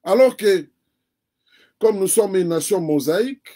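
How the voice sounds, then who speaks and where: A man with a Congolese accent and a notably high voice for a man. He speaks earnestly and builds his case step by step, repeating phrases for emphasis. His first language is Kikongo. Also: animated, measured pace, mellow. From Democratic Republic of the Congo. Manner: earnest, argues point by point with repetition. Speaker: interview guest. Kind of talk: earnest conversational commentary.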